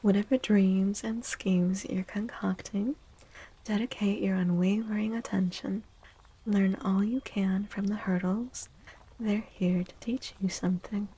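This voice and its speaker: A woman streams in a hushed, breathy ASMR voice that often slips toward a whisper. Her tone is mellow and creaky at the edges, and she takes her time. She chats gently, with a playful, theatrical way of drawing out words. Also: mid-range voice, fairly even intonation, measured pace, low-quality recording. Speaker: streamer hosting a tarot and astrology stream. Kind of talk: soft-spoken ASMR, casual live stream chat.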